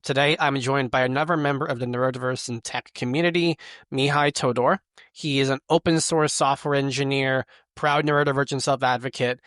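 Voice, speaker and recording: Guy hosting a tech podcast, curious and upbeat, average pitch, dead dry room. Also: a bit bright, no echo. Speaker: podcast host. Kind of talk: podcast hosting, scripted intro then casual chat.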